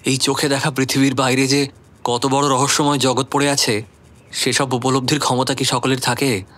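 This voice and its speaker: A man's voice: medium pitch, flat delivery, moderate pace, fairly bright tone, close to an everyday voice. A man in a radio drama playing an earnest, dreamy young writer, respectful and emotive in his speech. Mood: wistful. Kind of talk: Bengali radio drama acting.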